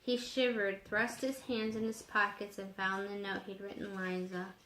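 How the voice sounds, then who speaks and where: A woman reading a children's novel aloud as a storyteller, unhurried and expressive in her delivery. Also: medium pitch, reverberant, slight background noise.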